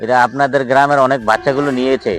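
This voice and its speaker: A man's voice: mid-range voice, fairly even intonation, racing, a bit bright, faint music behind, lightly acted. A man playing an earnest, reassuring villager in an improvised comedy skit, repeating himself and constantly checking for agreement. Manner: repetitive, constantly seeking agreement. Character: earnest villager guide organizing a jinn hunt, reassuring.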